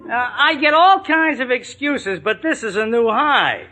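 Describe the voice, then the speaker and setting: An actor playing a dry, jaded delivery man with a weary deadpan manner in a 1940s radio sitcom. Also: extremely high pitch, measured pace, heavily acted. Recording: noisy old radio broadcast.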